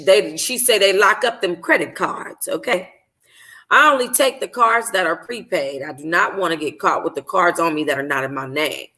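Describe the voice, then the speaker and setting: Bright-voiced woman reading a novel aloud. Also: medium pitch. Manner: stumbles over words and rereads phrases. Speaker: book club host reading a novel aloud. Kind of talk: informal first-person audiobook narration.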